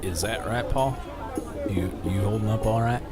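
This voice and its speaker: An actor playing a folksy, self-satisfied circus boss in an audio drama, jovial and patronizing in manner. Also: male voice, on the low side, loud music behind the voice, natural voice. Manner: folksy, jovial, drawn-out showman phrasing.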